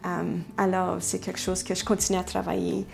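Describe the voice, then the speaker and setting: Flat-toned woman with a gravelly edge. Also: lowish voice, a little fast. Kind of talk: documentary interview.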